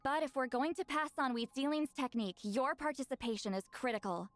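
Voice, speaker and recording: Actress as a high-voiced, confident young warrior woman. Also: brisk, lightly acted. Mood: instructive. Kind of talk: video game voice acting.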